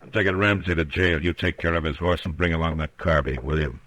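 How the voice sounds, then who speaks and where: Very deep, stern Old West marshal. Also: male voice, fairly even intonation, quick pace, fairly bright tone. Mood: stern, pressing. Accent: American. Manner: terse, commanding, insistent. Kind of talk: old-time radio western acting. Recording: noisy vintage radio drama recording.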